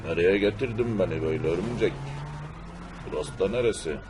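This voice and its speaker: A man playing a gruff, plaintive fellow who fusses over his beloved cars in an amateur cartoon dub. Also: sits low, a little fast, noisy background, close to an everyday voice. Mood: puzzled. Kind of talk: amateur cartoon-style game video dub.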